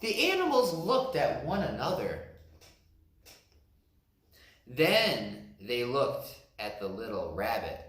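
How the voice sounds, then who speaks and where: Brief: male teacher reading to kids, bright voice, lively pitch. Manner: slow, expressive narration. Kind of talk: storybook read-aloud. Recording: roomy echo.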